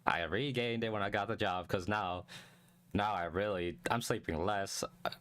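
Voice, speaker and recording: A young man streaming a casual solo chat, calm and low-energy, talking close to the mic and rambling with many restarts. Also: normal speed, clean tone, no room sound. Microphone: close desk mic.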